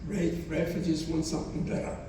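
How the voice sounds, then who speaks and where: A man in his nineties, not a native English speaker, gives a halting testimony to students and often repeats his phrases. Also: on the high side, completely flat delivery, mellow, slight background noise. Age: 90s. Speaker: Holocaust survivor speaker. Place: college hall. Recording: echoey hall from far off.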